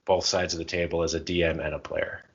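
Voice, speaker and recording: A man with a very deep voice chats informally as a podcast host. He talks conversationally and draws his co-host in. The recording is somewhat dull and lo-fi. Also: level tone, quick pace. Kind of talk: casual podcast chat.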